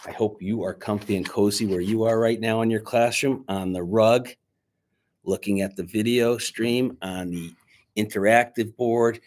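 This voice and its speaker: A man speaking to schoolchildren as their teacher, reading a storybook aloud in an expressive, dramatic way. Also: fairly low pitch, normal speed. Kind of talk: children's storybook read-aloud and chat to pupils. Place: indoors, recorded remotely on video.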